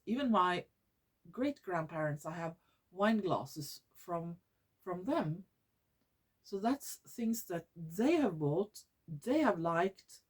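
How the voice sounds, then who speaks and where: A woman from Norway with a Norwegian accent, hosting her own vlog in English, which is not her first language, often pausing to search for words and restarting her sentences. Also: medium pitch. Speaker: YouTube channel host. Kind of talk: casual vlog monologue.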